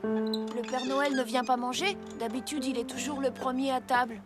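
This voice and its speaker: An earnest young cartoon boy played by a woman, with a high, expressive voice over loud music. He sounds determined and open-hearted, speaking in exclamations like an eager apprentice. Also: normal speed, natural voice. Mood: concerned. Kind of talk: French animated children's series dubbing.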